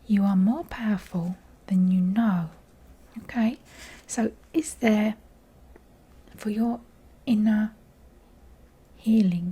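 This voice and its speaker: Female tarot reader, very breathy and croaky, mellow, near-whispered, gentle and chatty, slightly tired delivery. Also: mid-range voice. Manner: gentle affectionate address, chatty asides. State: croaky voice, low on energy. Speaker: tarot card reader and channel host. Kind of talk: intimate, informal tarot reading addressed to viewers.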